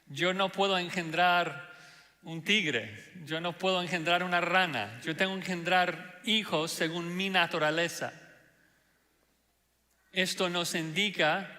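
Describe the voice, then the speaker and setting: A man preaching a sermon as pastor, speaking deliberately with frequent "ah" fillers; Spanish is not his first language. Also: high voice, bright, forceful tone. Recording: long hall reverb.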